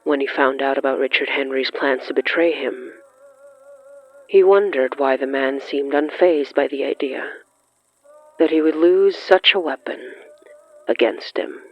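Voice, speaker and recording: A dull, lo-fi recording with faint music underneath carries a narrator of horror fiction. The reading is very slow and brooding, dramatic in its delivery, with long pauses left between phrases. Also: mid-range voice. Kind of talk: scripted horror fiction narration, third person. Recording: muffled lo-fi sound with music underneath.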